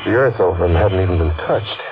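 Actor as deep-voiced, haunted, guilty killer. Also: male voice, fast, heavy background noise, natural voice. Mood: haunted, tense. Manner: hesitant, haunted, clipped phrases. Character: desperate, scheming man, a guilty killer confessing his crime. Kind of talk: 1940s radio mystery acting, first-person narration. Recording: dull vintage radio recording, loud music.

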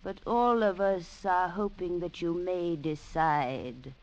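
An actress playing a mature mother in a 1940s radio drama, gracious and insinuating with veiled menace. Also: fairly low pitch. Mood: silky menace. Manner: deliberate, silky, insinuating. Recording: dull vintage radio recording.

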